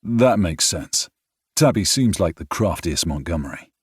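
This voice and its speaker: A man voicing a calm, wry British single dad, with a light British accent and a slightly raised voice. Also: low-pitched, a little slow, husky, dry recording, clean studio sound, heavily acted.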